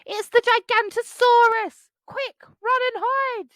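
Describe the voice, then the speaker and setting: A woman voicing a cheeky, boastful young boy dinosaur for a storybook, teasing and shouty. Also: very high-pitched, fairly even intonation, slow, no room sound, voice pushed up, heavily acted. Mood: alarmed shouting.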